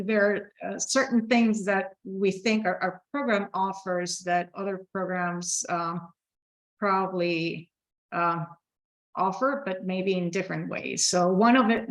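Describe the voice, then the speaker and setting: A woman's voice: medium pitch, poor audio. A middle-aged woman with an Ecuadorian Spanish accent, giving a program presentation over a video call with many fillers and restarts. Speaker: program director. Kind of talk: virtual open house presentation.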